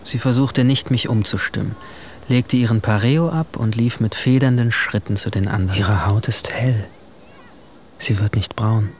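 A man's voice: lowish voice, moderate pace, natural voice. A young man playing a brooding teenage boy who narrates his own story in subdued, introspective short phrases. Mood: wistful. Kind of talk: first-person scripted drama narration. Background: music.

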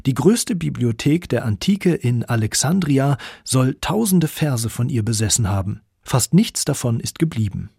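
A man with a slightly husky voice narrating a scripted radio feature in an articulate, clearly structured way. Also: medium pitch, lively intonation, moderate pace, hardly any pauses, warm tone, no room sound, studio quality. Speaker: radio documentary narrator and reporter. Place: dry studio.

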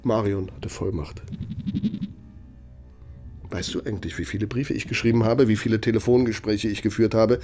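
An actor in a radio drama playing an aging, bitter Nazi architect, speaking in a reproachful, deliberate, rhetorical manner. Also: male voice, on the low side, fairly even intonation, darkish tone, soft background music, natural voice. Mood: bitter, aggrieved. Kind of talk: German radio drama monologue.

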